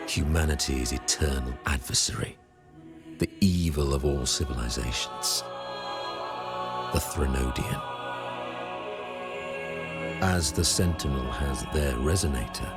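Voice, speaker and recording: An actor playing a solemn, pious religious leader in a fantasy video game, speaking in grave, formal, sanctimonious oratory. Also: male voice, extremely low pitch. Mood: grave confession. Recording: loud music behind the voice. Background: loud game music.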